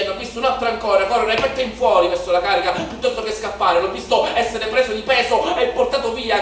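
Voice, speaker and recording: Guy acting a young protester onstage, highish voice, haunted and declamatory, super echoey and far from the mic. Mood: agitated, indignant. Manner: declamatory, incantatory repetition, swelling from hushed to shouted. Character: young male protester, impassioned, haunted, recounting street riots. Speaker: stage actor performing a monologue. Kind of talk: theatrical dramatic monologue in Italian political theatre. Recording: very echoey theatre, distant mic, noisy.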